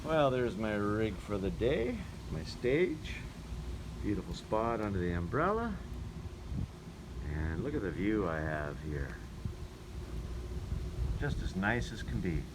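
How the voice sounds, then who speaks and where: A man's voice: on the low side, big pitch swings, slow, pauses often. A man narrating a casual vlog as a touring musician, with a folksy, wry way of talking. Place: outdoors and in a vehicle. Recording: noisy outdoor and vehicle ambience.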